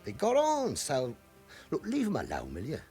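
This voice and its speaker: A chatty London club owner of forty in a radio drama. His voice is high for a man and husky, with very wide swings in pitch. He talks in an evasive, wistful way, like a man with a shady past. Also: wildly swooping pitch, normal speed, warm tone, soft background music, heavily acted. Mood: pleading, protective.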